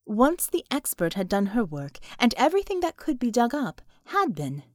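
A woman reading scripted fiction as an audiobook narrator, with expressive, dramatic storytelling. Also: fairly high pitch, moderate pace, no echo, clean studio sound.

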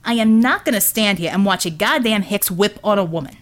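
A woman's voice: medium pitch, fairly quick, lightly acted. A woman voicing a scrappy, defiant working-class man, brash and plain-spoken, in an audiobook. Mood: indignant.

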